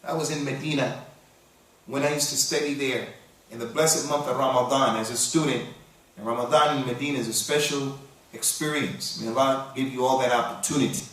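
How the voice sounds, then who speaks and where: Preacher in a very echoey hall. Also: male voice, mid-range voice, fairly even intonation, a bit bright, far from the mic. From United States. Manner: quotes Arabic verses quickly, then explains in English. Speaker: Islamic preacher. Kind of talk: sermon with Arabic recitation.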